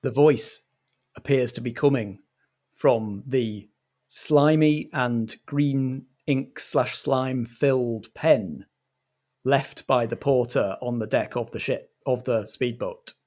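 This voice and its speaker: A man narrating a roleplaying game as game master in a measured, deliberate storytelling manner. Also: mid-range voice, a little mellow.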